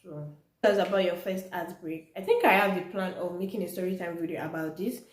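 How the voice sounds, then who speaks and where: Low-voiced Nigerian woman in an echoey room. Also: a little fast. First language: Yoruba. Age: young adult. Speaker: vlogger. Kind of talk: casual personal storytelling to viewers.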